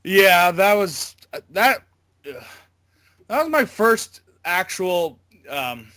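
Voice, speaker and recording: Bright-voiced young-sounding man, halting chat. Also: high-pitched, very animated, no room sound, studio quality. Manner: stammering, halting, backtracking. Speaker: interview guest, amateur voice actor. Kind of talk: casual chat.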